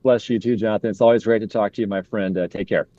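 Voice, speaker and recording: A man answering as an interview guest, measured and upbeat, with filler pauses, heard over muffled remote audio. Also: on the low side, fairly even intonation, mellow, no echo. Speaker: guest, legal advocate.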